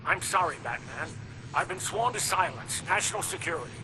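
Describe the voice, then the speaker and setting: High-pitched, guarded police official. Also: male voice, lively intonation, brisk. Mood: dutiful. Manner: serious, guarded. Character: earnest, guarded male police official, terse and dutiful. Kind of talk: video game dramatic acting. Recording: noisy vehicle-like ambience.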